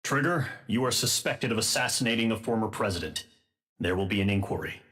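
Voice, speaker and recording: A man playing a stern air force commander giving a formal, measured briefing in a game. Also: lowish voice, fairly bright tone, lightly acted. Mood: grave. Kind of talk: scripted military briefing. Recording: music behind the voice.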